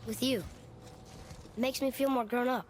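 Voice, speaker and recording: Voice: medium pitch, fairly even intonation, unhurried, slight background noise, lightly acted. An actor playing a young boy in a video game, an earnest, curious son who speaks hesitantly and asks questions. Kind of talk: video game cinematic acting.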